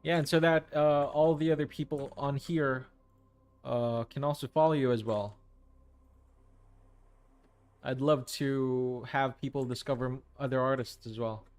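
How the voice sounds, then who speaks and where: A man's voice: mid-range voice, fairly even intonation, measured pace, pauses often, darkish tone, clear, resonant tone, no echo. Man chatting with his stream viewers. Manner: reads and answers chat messages, friendly, hesitant restarts. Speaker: livestream host. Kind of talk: casual chat with viewers while painting.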